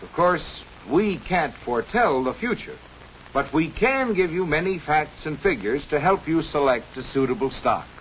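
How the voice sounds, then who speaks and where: Animated, earnest 1950s cartoon stockbroker, American. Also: male voice, a little high, moderate pace, slight background noise, low-quality recording. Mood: reassuring, explaining. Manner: instructive, salesmanlike. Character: earnest, reassuring adult male stockbroker in an educational cartoon. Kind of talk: 1950s educational cartoon narration, scripted explaining. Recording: 1950s film soundtrack with music. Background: music.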